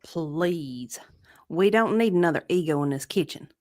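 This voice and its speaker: A woman playing a sharp-tongued, exasperated restaurant partner in a romance drama, brash and sarcastic. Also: low-pitched, lively intonation, unhurried, dry recording, studio quality. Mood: scornful, exasperated. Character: Justine, sharp-tongued, exasperated, sassy female kitchen partner.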